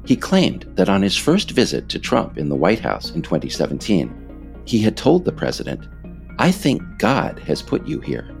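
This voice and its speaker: An American man reading scripted political narration in a measured, emphatic, explanatory way. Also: low voice, huge pitch swings, vocal fry, faint music behind. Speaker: author narrating his audiobook episode.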